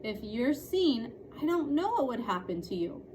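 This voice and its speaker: A woman's voice: a little high, very animated, moderate pace, pitched above the performer's own voice. A woman voicing an earnest, emphatic schoolboy in a storybook reading. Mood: serious, cautioning. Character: earnest schoolboy, indignant and protective of his secret. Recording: clearly noisy room recording.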